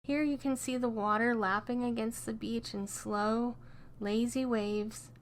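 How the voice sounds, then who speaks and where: Woman, fairly high pitch, meditation guide, scripted delivery, gentle instruction, soothing. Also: fairly even intonation, a little slow.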